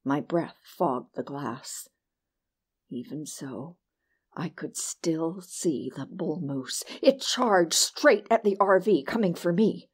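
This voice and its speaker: A female audiobook narrator with a lowish voice tells a first-person tale. Her reading is scripted and expressive, shaped with a storyteller's sense of drama. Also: takes it slowly, breathy edge.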